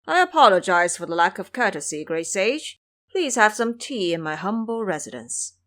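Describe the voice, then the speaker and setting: A woman's voice: medium pitch, big pitch swings, normal speed, a bit bright. A woman voicing a dignified, formal male immortal lord who is courteous but stern, in an audio drama.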